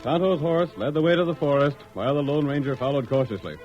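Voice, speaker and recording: A man's voice: a little high, fast talker, soft background music. A man narrating an old-time radio drama as announcer, in a formal, theatrical scripted style. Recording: muffled old radio recording.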